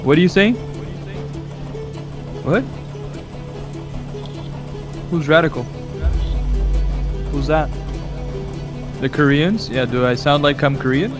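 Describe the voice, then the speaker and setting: A man's voice: on the high side, slow pace, long pauses, over music. A man chatting with teammates while playing, teasing and questioning another player. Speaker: gaming teammate. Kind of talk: casual game chat.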